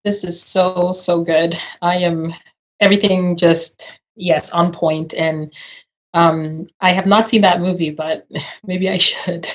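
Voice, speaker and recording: A woman from Uganda in a casual podcast chat, telling her story in a relaxed, reflective way. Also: fairly low pitch, slow.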